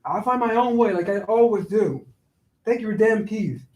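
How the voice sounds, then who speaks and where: With room echo around him, an actor plays a hurt and resentful brother in a stage drama. His high male voice is passionate and accusing, picked up from a distance. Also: normal speed, a little mellow, close to an everyday voice. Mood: bitter, accusing. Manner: accusing, repetitive, heated. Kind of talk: staged reading of a play. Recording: roomy echo, distant microphone.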